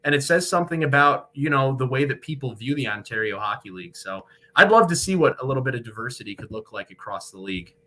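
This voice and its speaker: A man's voice: medium pitch, animated, fast talker, hardly any pauses, sharp tone. A young man, a sports broadcaster, giving articulate, wordy answers as an interview guest. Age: young adult. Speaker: guest, radio hockey play-by-play broadcaster.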